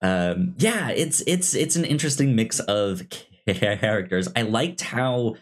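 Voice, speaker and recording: A man co-hosting a casual film chat, speaking haltingly with a stutter on sounds and syllables, in an amused manner. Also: lowish voice, lively intonation. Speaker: podcast co-host. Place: recorded in person with co-host.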